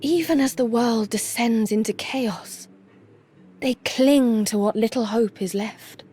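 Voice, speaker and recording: Formal, stoic warrior goddess, slightly high. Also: female voice, warm tone, slightly rough. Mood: solemn, detached. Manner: formal, measured, detached. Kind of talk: video game cutscene acting.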